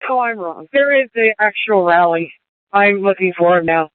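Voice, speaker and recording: A man's voice: high-pitched, expressive, normal speed, dry recording. A man working as a field unit, giving brief operational replies over a narrowband police two-way radio.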